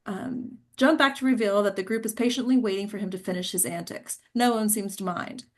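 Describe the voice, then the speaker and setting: A woman's voice: medium pitch, brisk. Female narrator for a screenplay read, mid-range voice, plain scripted delivery, some stumbles. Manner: stumbles over words. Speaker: stage directions reader.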